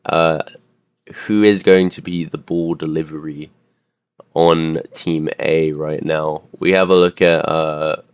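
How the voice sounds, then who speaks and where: A young man commentating a custom game he also plays in, his voice a bit hoarse, rambling and correcting himself as he goes. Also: low-pitched, dry recording. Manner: rambling, unsure, self-correcting, filler-heavy.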